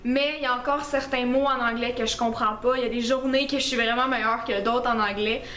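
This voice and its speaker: A woman's voice: a little high, steady tone, a little fast, a bit bright, roomy sound. A young woman with a Québécois French accent, chatting through a list of facts about herself in a casual, self-mocking way with lots of fillers. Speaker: beauty vlogger. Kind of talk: casual list-style vlog.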